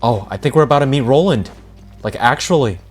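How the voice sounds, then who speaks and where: A male game streamer with an animated, mid-pitched voice. He reacts casually in slang and chats to viewers, with faint game music behind him. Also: a little fast. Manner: slangy exclamations, muttering to chat. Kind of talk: casual live gameplay reaction.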